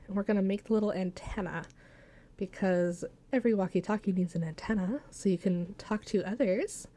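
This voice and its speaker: A woman hosts a craft tutorial in a soft-edged, mellow voice at a middle pitch. She talks casually and playfully, joking along and tossing in folksy made-up words as she explains each step. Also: brisk. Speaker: soap maker hosting a craft tutorial.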